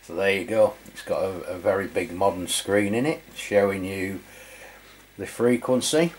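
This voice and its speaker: A man's voice: deep voice, normal speed, slight background noise. A man giving an informal, matter-of-fact product review as a channel host.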